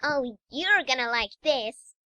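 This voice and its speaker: A woman's voice: sits high, animated, slow pace, bright, forceful tone, highs cut off, full character voice. A woman voicing a sweet, eager little unicorn filly, bubbly and earnest, for an audiobook. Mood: cheerful. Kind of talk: fan audiobook character acting.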